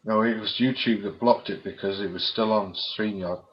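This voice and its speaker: A man's voice: fairly low pitch, steady tone, moderate pace. A man in casual chat on a stream, colloquial and given to swearing. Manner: swearing, laughing, colloquial British slang. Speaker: stream co-host. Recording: dull lo-fi sound.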